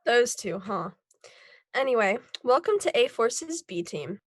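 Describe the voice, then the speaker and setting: A woman's voice: a little high, very animated, unhurried, slightly rough, no echo, clean studio sound, heavily acted. A young woman playing a composed, welcoming lead scientist in her twenties in a table read. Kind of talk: table read of a scripted pilot.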